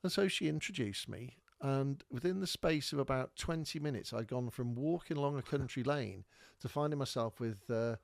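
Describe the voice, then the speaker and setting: A man's voice: mid-range voice, normal speed, vocal fry, few pauses, dry recording, clean studio sound. A man from North Wales, telling a rambling, casual story as a podcast host while pointing things out on maps. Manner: chatty, rambling, with many fillers and restarts.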